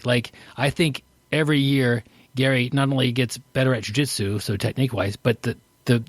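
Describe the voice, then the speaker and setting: Man, podcast co-host, gravelly, middle pitch, rambling chat, dry recording. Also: no echo. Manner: rambling, self-interrupting. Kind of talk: casual chat.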